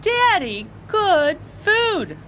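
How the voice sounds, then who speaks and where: A woman voicing an excitable, over-eager little girl pleading with her dad in a storybook reading. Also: very high-pitched, drawn-out words, a little hiss or hum, pitched above the performer's own voice, heavily acted. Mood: excited, eager.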